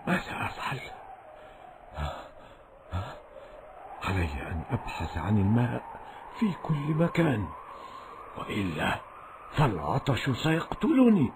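An actor playing a pious, kind-hearted desert traveler in a children's cartoon, with a theatrical, exclamatory delivery. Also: male voice, medium pitch, huge pitch swings, normal speed, noisy background. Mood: desperate, weary. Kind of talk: Islamic children's cartoon acting in formal Arabic.

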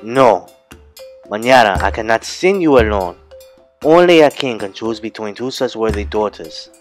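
A man voicing a proud, calm father speaking to his daughters. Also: lowish voice, moderate pace, faint music behind, lightly acted. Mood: steady. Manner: steady, fatherly.

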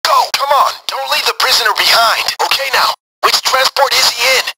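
High, bright-voiced urgent heist planner. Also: male voice, a little fast, gravelly, soft background music, heavily acted, low-quality recording. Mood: urgent, commanding. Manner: urgent, clipped commands. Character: brisk, commanding male heist planner directing a gang. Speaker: mission handler giving orders over radio. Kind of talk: video game mission dialogue.

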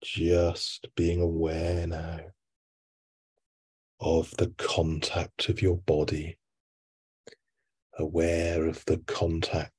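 A man with a deep, breathy voice leads a sleep hypnosis session. He speaks extremely slowly in a soothing, hushed way. Long pauses separate his short phrases.

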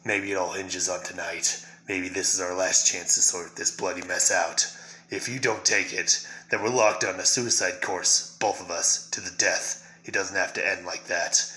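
A man's voice: low-pitched, steady tone, moderate pace, bright, forceful tone, poor audio. A man voicing a grim, weary masked vigilante in a stern, restrained way, as a fan reading of a comic. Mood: grave, pleading.

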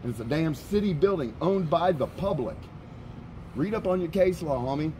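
A man's voice: fairly high pitch, animated, normal speed. Brief: heated, profane middle-aged man, handheld camera on a sidewalk, street noise around him. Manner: loud, blunt, profane. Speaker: camera-carrying activist. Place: outdoors on a town sidewalk by a street. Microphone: handheld camera rig on a gimbal.

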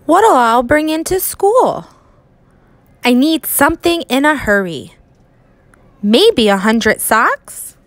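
A woman with a high, very lively voice reads a picture book aloud like a teacher to young children. She goes slowly, with expressive emphasis and dramatic pauses, in a dry, close recording. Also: dry recording. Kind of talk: animated children's storybook read-aloud.

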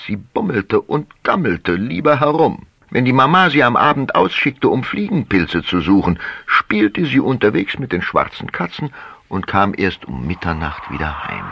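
Deep, gravelly male fairy-tale storyteller. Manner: expressive, relishing, drawn-out phrasing. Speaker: storyteller narrator. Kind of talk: theatrical fairy-tale narration for a children's audio play. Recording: dull sound with clear background noise.

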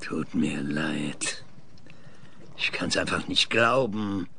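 An actor playing an irascible, indignant older professor who once trained space crews, in a German audio drama. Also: male voice, low-pitched, slow pace, gravelly, bright, forceful tone, slight background noise, heavily acted. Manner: indignant, blustering.

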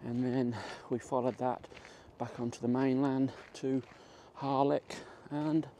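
Middle-aged Englishman, slow easygoing vlog chat. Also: mid-range voice, little pitch movement, mellow. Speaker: vlogger. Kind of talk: casual walk-and-talk chat. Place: outdoors in a country park. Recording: faint outdoor ambient noise.

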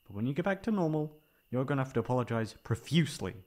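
A young man playing a caring, mildly exasperated boyfriend in an ASMR roleplay, coaxing and teasing with breathy sighs. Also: medium pitch, big pitch swings, fast talker, darkish tone, close to an everyday voice.

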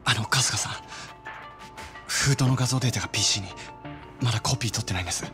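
A man's voice: medium pitch, fast, music in the background, close to an everyday voice. A man voicing a modest, sincere young man with polite speech in a video game. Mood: urgent. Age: young adult. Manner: polite, soft-mannered speech. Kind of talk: Japanese video game voice acting.

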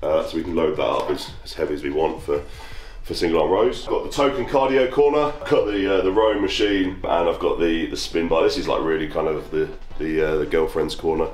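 A man with a very deep, low voice chats in a loose, matter-of-fact way as he shows off his gear. He is recorded close on a handheld camera. The small wooden room rings with echo, and faint music plays under him. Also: a little fast, few pauses, a little mellow. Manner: chatty, matter-of-fact, walking around pointing at kit. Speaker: strongman showing his home gym. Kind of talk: casual gym tour. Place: small wooden home gym barn.

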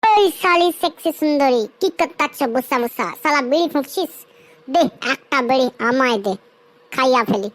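Cheeky high-voiced cartoon pest, played by a woman. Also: expressive, moderate pace. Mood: cheeky, demanding. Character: cheeky, pestering cartoon friend, slangy and crude. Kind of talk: Bengali comedy cartoon dialogue.

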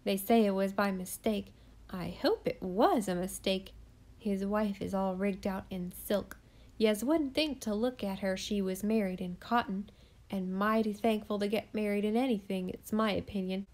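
Brief: a woman doing a spiteful eccentric gossip for a storybook, loud, scornful, voice a touch higher than her own. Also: moderate pace, lightly acted. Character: sharp-tongued gossiping eccentric woman in church.

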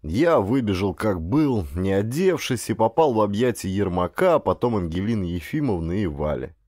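A man narrating a literary audiobook in an expressive, dramatic storytelling manner. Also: fairly low pitch, moderate pace, no echo, studio quality.

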